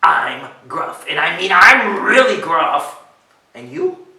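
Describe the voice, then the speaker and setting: A man's voice: high-pitched, very animated, slow, vocal fry, sharp tone, pitched above the performer's own voice, exaggerated voice. A man voicing a mean, boastful bully billy goat from a children's storybook, taunting and snarling. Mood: jeering. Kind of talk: children's storybook read aloud. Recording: roomy, distant microphone.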